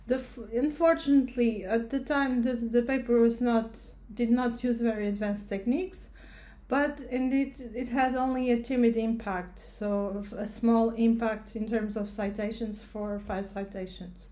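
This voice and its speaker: A woman's voice: on the high side, fairly even intonation, moderate pace, warm tone. A woman from Portugal, a researcher giving a recorded educational presentation, speaking hesitantly with many fillers and restarts.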